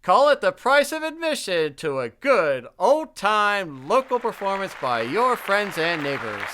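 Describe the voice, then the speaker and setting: High-pitched, bright male radio announcer. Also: sing-song, a little slow, some chatter behind. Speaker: show announcer and interviewer. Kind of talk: folksy old-time radio announcing.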